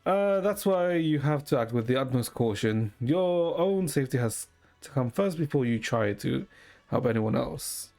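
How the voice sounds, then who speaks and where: A man's voice: mid-range voice, expressive, normal speed, creaky, a little mellow. A man streaming a game, reading its dialogue and narration aloud and adding casual commentary. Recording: game music faintly behind.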